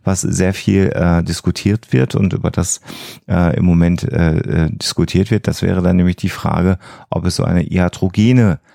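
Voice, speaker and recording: A man explaining things as a podcast host in a halting way, with frequent hesitations. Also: low-pitched, steady tone, gravelly, mellow, no room sound, clean studio sound. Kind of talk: explanatory science podcast talk.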